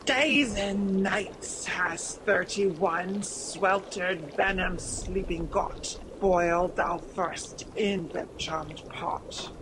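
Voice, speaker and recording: A woman's voice: fairly low pitch, very slow, few pauses, background noise. A woman voicing an old witch who chants spells over a cauldron, in an amateur radio drama. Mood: chanting. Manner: chanting incantations.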